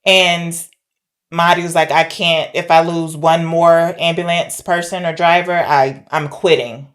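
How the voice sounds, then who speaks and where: A woman's voice: on the low side, little pitch movement, measured pace. A woman co-hosting a casual film discussion in an informal, hesitant, conversational way. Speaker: podcast co-host reviewing films.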